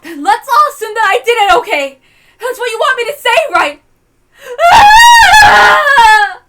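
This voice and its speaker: Anguished mother, high and hysterical. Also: very animated, a little slow, pushed and bright, raised voice, heavily acted. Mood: hysterical screaming. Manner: sobbing, dramatic outbursts. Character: anguished married mother confessing her crime in hysterical grief. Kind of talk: dramatic visual novel reading.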